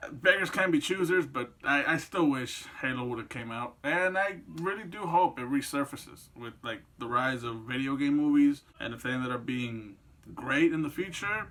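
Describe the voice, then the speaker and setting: A man's voice: medium pitch, expressive, moderate pace, a bit bright. A man in his twenties giving casual, rambling, opinionated commentary on games and films, swearing freely as he goes. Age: 20s. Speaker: video creator and host.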